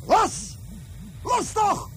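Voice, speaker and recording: Casting: bossy, excitable squadron leader, very high-pitched, clipped sci-fi radio commands, music underneath. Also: male voice, drawn-out words, full character voice. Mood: urgent, excited. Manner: urgent, clipped commands and running report. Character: excitable, bossy male leader of a flying raid. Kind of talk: Dutch science-fiction radio play acting.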